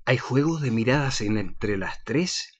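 A woman's voice: rumbling low, lively intonation, unhurried, breathy edge, no room sound, lo-fi sound. A woman narrating the action of a radio play from a script.

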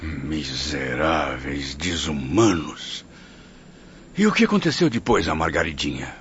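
An appalled, emotional Brazilian man in radio melodrama. His low voice swings dramatically as he questions and exclaims. The sound is dull, faintly noisy. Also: very animated, measured pace, fairly bright tone, full character voice. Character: indignant, emotional male listener appalled by cruelty. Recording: dull lo-fi, faint background noise.

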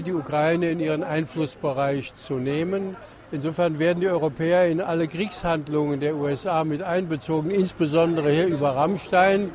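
A man giving a political statement as a protester, recorded outdoors. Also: fairly high pitch. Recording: outdoor recording with crowd noise.

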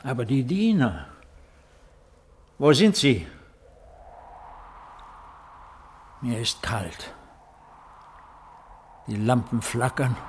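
Solemn, slow-speaking fallen Roman emperor. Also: male voice, medium pitch, slight background noise. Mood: forlorn, uneasy. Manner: solemn, measured, stately. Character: aging fallen Roman emperor, solitary, proud, brooding. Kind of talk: 1950s German radio play acting.

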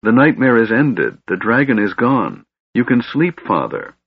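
A man's voice: mid-range voice, big pitch swings, unhurried, breathy edge, no echo, narrow, tinny audio, voice pushed up, full character voice. A man voicing a tender elf daughter in a fantasy storybook, pleading and soothing.

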